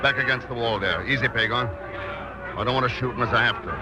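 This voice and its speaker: An actor in 1940s radio style playing a steady, practical adventurer hero with an American accent, on a dull old recording. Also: male voice, medium pitch, a little fast, lightly acted. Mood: cool, firm.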